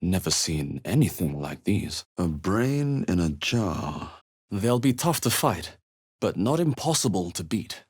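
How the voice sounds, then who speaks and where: An actor playing a wry, wary adventurer hero in a fantasy video game, speaking in a measured, understated, dry way. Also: male voice, deep voice, very animated, darkish tone, breathy edge, heavily acted. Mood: wry, watchful. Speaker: video game player character voice. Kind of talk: scripted fantasy game exploration barks.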